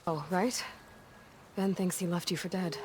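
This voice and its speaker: An actress playing a young female hunter, a capable outsider who speaks calmly and directly. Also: lowish voice, measured pace, slight background noise, lightly acted. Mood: determined. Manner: calm, direct, matter-of-fact. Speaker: game heroine. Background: game score.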